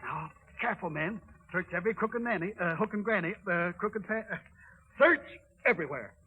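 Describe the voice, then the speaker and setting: A man's voice: high voice, animated, fairly quick. An actor playing a bumbling old dwarf leader who stammers and muddles his words in a 1930s radio drama. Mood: flustered. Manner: stammering, tongue-tangled with spoonerisms. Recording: noisy, tinny 1930s radio recording.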